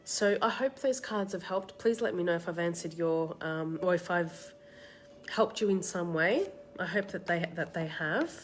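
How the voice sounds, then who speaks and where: A woman with a slight cold and a tickly throat, hosting a live card reading and giving soft, reassuring advice to viewers by name. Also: on the low side, normal speed. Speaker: card reader and meditation host. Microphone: live-stream setup.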